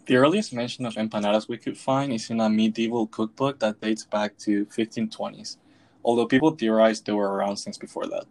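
A young man from Venezuela co-hosts a podcast and reads from a prepared script. His voice sits somewhat low, and his delivery stays steady throughout. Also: brisk. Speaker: podcast co-host.

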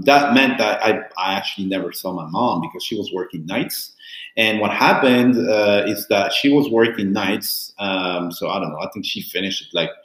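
A man's voice: lowish voice, fairly bright tone. A man whose native languages are French and Italian, speaking English and telling his life story in a candid, rambling way with many restarts and fillers. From born in Cameroon, raised in Italy and France. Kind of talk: casual personal storytelling. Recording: roomy echo.

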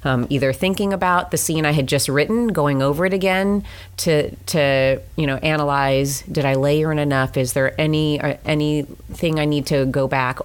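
A woman with a strikingly low voice talks casually about her writing life. She rambles warmly through fillers and false starts, the way people do on an easygoing interview show. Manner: chatty, many fillers and restarts, laughs often. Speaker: romance author guest.

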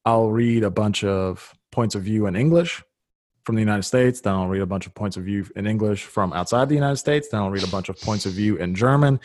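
A man's voice: fairly low pitch, fairly quick, a little mellow, no room sound. A man whose first language is Serbo-Croatian, hosting a podcast and talking earnestly in casual conversation, thinking aloud with restarts.

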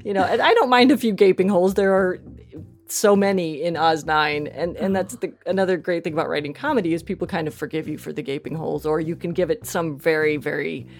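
Lower-pitched, self-deprecating woman, casual chat. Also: a little fast, faint music behind. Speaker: interview guest, comedy audio drama writer.

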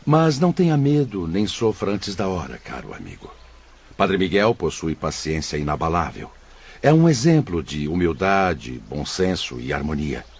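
Benevolent elder brother of a spirit hospital: very low, swooping intonation, solemn radio drama delivery, muffled recording. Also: male voice, big pitch swings, breathy edge, exaggerated voice. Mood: grave, reassuring. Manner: solemn, paternal, measured oratory.

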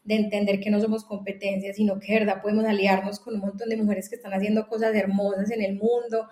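A woman with a Colombian accent, a company founder and podcast guest, talking earnestly in a quick casual conversation with many restarts. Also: medium pitch, flat delivery, mellow, echoey room.

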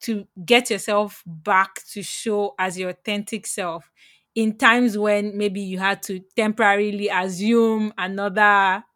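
A woman's voice: medium pitch, fairly even intonation, unhurried, fairly bright tone, no echo, studio quality. A woman from Nigeria, hosting a casual chat and asking reflective questions. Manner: reflective, asks long thoughtful questions. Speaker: host.

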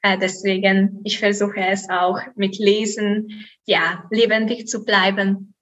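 Slow, bright-voiced young woman, Hungarian accent. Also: medium pitch, little pitch movement, dull audio, a little hiss or hum. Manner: hesitant, many fillers, laughs softly. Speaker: guest, student. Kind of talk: interview answers.

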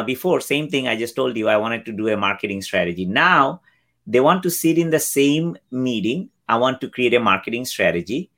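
A man's voice: mid-range voice, a bit bright. A man in his fifties from Bangladesh, a guest explaining technology trends in an interview and acting out quoted exchanges. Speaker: guest, cloud solutions architect. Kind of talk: interview answers.

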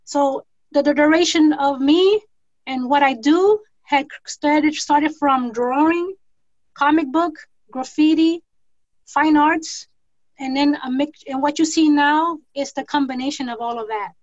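Guest artist, woman, 40s, from New York City; high voice, muffled lo-fi sound. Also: no room sound. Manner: thoughtful, rambling with pauses and restarts. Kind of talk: casual self-introduction. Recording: dull lo-fi sound.

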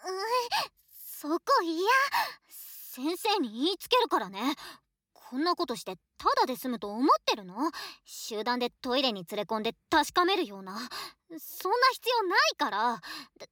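A shy, affectionate student, once a boy and now a girl, acted by a woman, speaks in a very high, soft voice. The delivery is emotive, with wide swings in pitch, as in an intimate situation voice drama addressed to a best friend. The sound is close and very dry. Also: big pitch swings, normal speed, no echo, heavily acted. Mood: frightened, pleading. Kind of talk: Japanese situation voice drama acting, intimate one-sided dialogue.

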